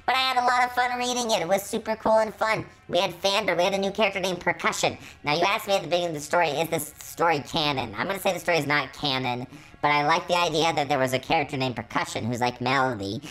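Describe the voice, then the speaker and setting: A woman voicing an excitable musical robot who reads stories aloud and reacts with dramatic astonishment. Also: lowish voice, quick pace, lightly acted, rough recording. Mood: excited. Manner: exclamatory, dramatic, easily astonished. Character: excitable musical robot reading fan fiction about himself. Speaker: channel host. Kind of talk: animated story reading with reactions.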